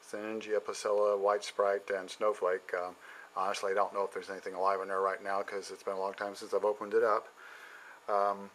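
A middle-aged man giving a casual, halting tour of his basement plant shelves, with many ums and frequent throat clearing, recorded on a handheld camera. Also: fairly low pitch, little pitch movement, a little hiss or hum, poor audio. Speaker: home plant grower. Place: indoor basement grow shelves.

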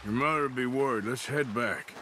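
An actor playing a gruff, wry American outlaw in a Western video game, with a drawl and a dryly sardonic manner. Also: male voice, mid-range voice, moderate pace, bright, forceful tone, slight background noise, lightly acted. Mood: reassuring. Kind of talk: dramatic video game acting.

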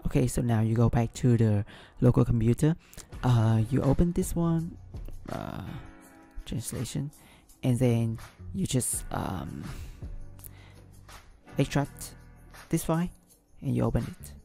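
A man hosting a screen-recorded software tutorial, talking through each step with frequent ums and restarts, English not his first language. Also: medium pitch, animated, unhurried, vocal fry, pauses often, soft background music. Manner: hesitant, many ums and restarts. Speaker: business analyst tutorial host.

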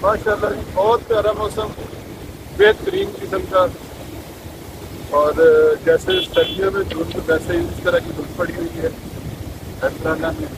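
A middle-aged man, a vlog host cheerfully narrating while holding his phone out on a bridge in the rain. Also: high-pitched. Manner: cheerful, lively, repeating praise for the weather. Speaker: vlogger host. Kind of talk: casual travel vlog narration. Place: outdoors by a river, on a bridge in the rain. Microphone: phone held at arm's length. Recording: wind noise and rushing water.

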